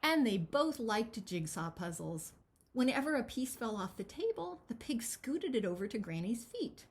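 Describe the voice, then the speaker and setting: A woman reading a picture book aloud as its author, with expressive, dramatic storytelling. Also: fairly high pitch, moderate pace. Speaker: children's author reading their own book.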